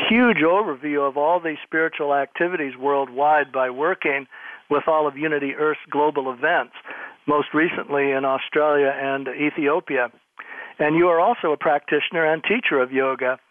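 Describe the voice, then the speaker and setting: A man hosting a panel discussion, thanking guests warmly and handing the floor to the next speaker. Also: a little high, no echo. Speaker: panel host. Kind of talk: moderating a panel over a phone line. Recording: tinny, dry phone line.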